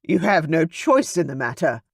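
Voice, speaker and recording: A cold, dismissive nobleman, as an audiobook narrator voices him, speaking firmly and a little louder than the narration, with a varied lilt. The sound is close and dry. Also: mid-range voice, big pitch swings, normal speed, gravelly, husky, no echo, heavily acted. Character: cold, dismissive aristocratic man, a duke. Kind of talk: audiobook character voice.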